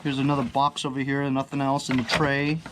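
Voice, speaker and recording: A man talks through a product review off the cuff, with ambient noise behind him. He rambles as he thinks aloud and often turns to the viewer with small questions. His pitch sits in an ordinary middle range for a man. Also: completely flat delivery, brisk. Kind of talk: casual unscripted unboxing chat. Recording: clear background ambient noise.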